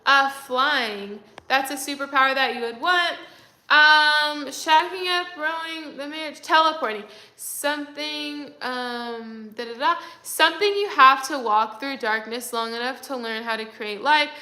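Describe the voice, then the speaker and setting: A woman's voice: high voice, a little slow, bright, forceful tone, echoey room. A young woman hosting a livestream, chatting casually and reading viewer comments aloud, with lots of fillers. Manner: chatty, rambling, many fillers. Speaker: livestream host.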